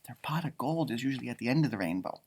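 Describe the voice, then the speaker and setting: A man hosting a storybook read-aloud for children, with very lively, varied intonation. He thinks out loud in chatty asides, humming as he ponders and explaining things simply. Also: medium pitch, racing, breathy edge. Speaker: storybook reader and host, schoolteacher.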